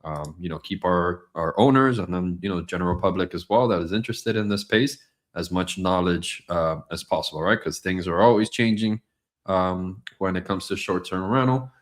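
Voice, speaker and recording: A hoarse man co-hosting a business podcast, talking in a rambling way with many fillers. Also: low-pitched, moderate pace. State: hoarse, losing his voice. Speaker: podcast co-host. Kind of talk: casual business podcast chat. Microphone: studio mic.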